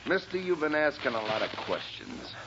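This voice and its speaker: A big, bullying gangster type, jealous and hot-headed. His voice is hard, bright and a little high, with blunt, menacing speech. It comes through a dull 1940s radio recording with faint noise. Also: big pitch swings, normal speed. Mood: threatening. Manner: blunt, menacing, rough grammar. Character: huge jealous male tough guy, bullying and hot-headed.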